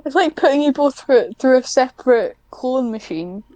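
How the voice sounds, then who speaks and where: A woman chatting casually as a podcast guest, in a somewhat hesitant, halting way. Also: high-pitched, lively intonation, dark tone, dry recording. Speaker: podcast guest, script writer. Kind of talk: casual chat.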